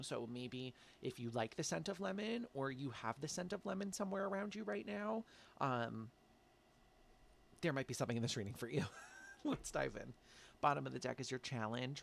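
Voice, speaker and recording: A man's voice: medium pitch, gravelly, slight background noise. A man with an American accent giving a casual tarot reading, sounding congested from allergies and chatty, with frequent "um" and "like". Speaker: tarot reader.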